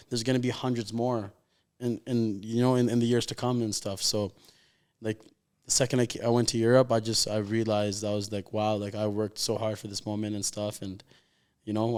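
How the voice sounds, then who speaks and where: A man's voice: on the low side, darkish tone, clean tone. A man, chatting casually and earnestly in an interview, with informal slang and lots of "like". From raised in Calgary, Canada. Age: 20s. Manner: informal, slangy, heartfelt, heavy use of "like". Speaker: professional footballer, interview guest.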